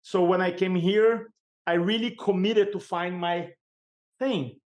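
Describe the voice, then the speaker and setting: A middle-aged man with a Brazilian Portuguese accent speaks as a guest on a podcast, in a fairly high voice. He tells stories with energy, laughs often and acts out the people he quotes. His first language is Portuguese, and it shows in his English. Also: fairly even intonation, a little slow, a little mellow. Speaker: sales and speaking coach, guest. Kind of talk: storytelling interview chat.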